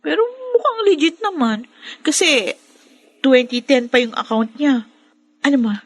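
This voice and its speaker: Radio serial casting: sweet, hopeful young daughter, Filipino accent, higher pitch, very dry recording. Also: female voice, sing-song, a little slow, no echo, full character voice. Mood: excited, nervous. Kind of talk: Filipino radio drama acting.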